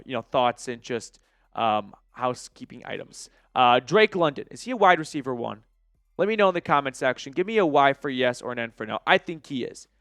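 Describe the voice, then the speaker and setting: A man's voice: mid-range voice, a bit bright, dry recording, clean studio sound. An American man hosting a sports show, giving opinionated, emphatic commentary point by point in a conversational style aimed at viewers.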